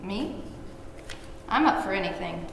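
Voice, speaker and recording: A woman's voice: mid-range voice, lively intonation, measured pace. Casting: woman as streetwise, reassuring thrill-seeker guide, table-read style, heard from far off in heavy reverb. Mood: nonchalant. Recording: very echoey, distant, noisy room recording.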